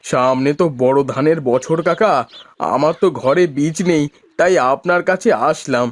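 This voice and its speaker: A man's voice: on the high side, moderate pace, no room sound, natural voice. A man voicing a cheerful, polite farmer husband from a village, in cartoon dialogue. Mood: respectful.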